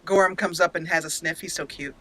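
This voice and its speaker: Bright-voiced woman narrating a roleplay playthrough. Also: on the low side, a little fast, slight background noise. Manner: expressive storyteller, acting out lines. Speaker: let's play host and narrator. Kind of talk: roleplay storytelling over gameplay.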